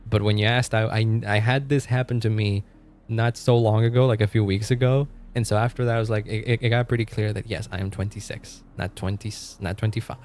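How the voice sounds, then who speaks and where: A man's voice: fairly low pitch, steady tone, fairly quick, a little mellow, slight background noise. A man in his twenties chatting casually on a live stream. He rambles, laughs often and trails off mid-sentence. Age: 20s. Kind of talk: casual live-stream chat, reacting to chat and game.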